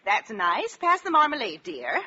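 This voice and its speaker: An actress playing a long-suffering wife who soothes and gently chides, in old radio comedy style. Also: female voice, fairly high pitch, wildly swooping pitch, a little slow, crowd noise. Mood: placating. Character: long-suffering, placating wife of a blustering husband. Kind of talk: 1940s radio comedy acting. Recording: muffled old radio, faint audience noise.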